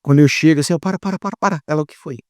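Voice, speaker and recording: Effusive São Paulo podcast host. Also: male voice, fairly high pitch, rapid-fire, a little mellow, no echo, studio quality. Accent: São Paulo Brazilian Portuguese. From São Paulo, Brazil. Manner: enthusiastic, effusive. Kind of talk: casual interview chat. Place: outdoors.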